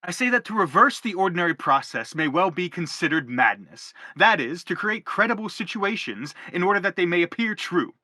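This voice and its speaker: An actor playing an earnest, eloquent stranger in a radio play, speaking formally and insistently. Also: male voice, high-pitched, big pitch swings, a little fast, few pauses, bright, forceful tone, no room sound, studio quality, exaggerated voice. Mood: earnest, philosophical. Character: earnest, eloquent male stranger seeking an author. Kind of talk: theatrical radio play acting.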